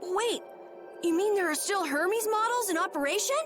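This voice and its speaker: An actress playing a blunt, excitable, hot-tempered young girl in a video game, with sharp, questioning delivery. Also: extremely high pitch, normal speed, faint music behind. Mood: startled, questioning. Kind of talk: scripted video game acting.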